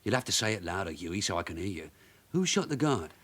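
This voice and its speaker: An actor playing a shrewd veteran detective sergeant in a British radio drama, a husky voice and terse, probing delivery. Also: male voice, deep voice, sing-song, quick pace, a bit bright, full character voice. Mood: pressing. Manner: terse, probing questions and clipped orders.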